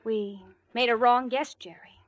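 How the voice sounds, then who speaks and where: A worried young fiancée played by a woman in an old radio melodrama. Her voice is high and dry, full of earnest feeling. She pleads with devotion and urgency. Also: fairly even intonation, measured pace, no echo, close to an everyday voice. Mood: pleading, alarmed. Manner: earnest, emotional. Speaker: actor in radio drama. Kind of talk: 1940s radio melodrama acting. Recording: vintage 1940s radio broadcast.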